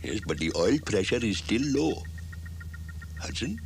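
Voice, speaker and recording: A man's voice: lowish voice, very animated, a little slow, gravelly, exaggerated voice. A man playing a blustery, loyal robot sergeant in a children's sci-fi puppet series, theatrical and military, on a very noisy old soundtrack. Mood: mock grave. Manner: mock-grave, military formality.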